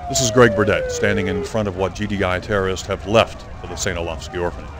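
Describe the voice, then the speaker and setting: A man's voice: low voice, fairly even intonation, fast, natural voice. A man playing a solemn on-scene news reporter in a video game. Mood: somber. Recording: sirens and street noise behind.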